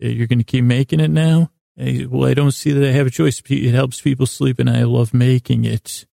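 A man hosting a sleep podcast, telling stories in a casual, meandering way with a gravelly voice, often halting and trailing off mid-thought. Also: medium pitch, fairly even intonation, mellow, no room sound, studio quality. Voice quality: gravelly, creaky voice. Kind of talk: rambling casual bedtime storytelling monologue.

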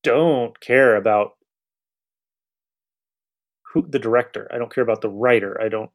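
A man with a mid-pitched voice talks as a podcast host in a casual discussion. He is blunt and irreverent, speaking off the cuff and swearing freely. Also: measured pace, phone-quality sound. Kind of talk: casual chat, discussing an old radio show.

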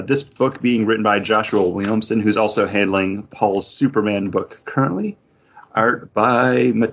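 A man with a fairly low, rough-edged voice talks as a podcast co-host. He rambles casually and enthusiastically, often correcting himself. The audio is a bit dull and lo-fi. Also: fairly bright tone. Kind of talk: casual chat reviewing comic books.

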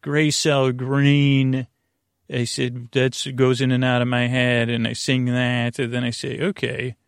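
A man with a creaky voice hosting a sleep podcast, delivering a lulling, halting bedtime monologue that meanders through digressions. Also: mid-range voice, completely flat delivery, no room sound, studio quality. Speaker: podcast host.

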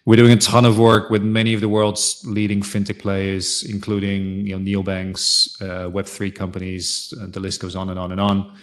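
A middle-aged man from the Netherlands, speaking conversationally as an interview guest, halting and often saying "you know". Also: sits low, monotone. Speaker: interview guest, fintech consultant. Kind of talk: conversational career account.

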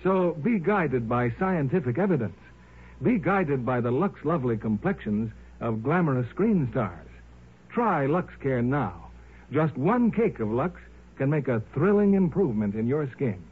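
A man working as a 1950s radio announcer, delivering scripted advertising with a polished, emphatic sales pitch. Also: very animated, unhurried, breathy edge. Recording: muffled 1950s radio recording.

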